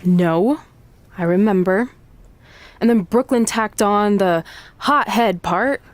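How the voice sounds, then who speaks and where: A woman's voice: mid-range voice, slow pace, no echo, close to an everyday voice. An actress playing a sarcastic, hot-headed young woman wrestler in a video game cutscene. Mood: exasperated. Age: young adult.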